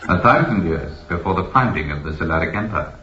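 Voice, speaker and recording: An actor playing a formal, authoritative keeper of an ancient imperial library in a science fiction radio drama. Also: male voice, deep voice, lively intonation, fairly quick, lo-fi sound, crowd noise. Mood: courteous, formal. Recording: echoey, band-limited old radio recording.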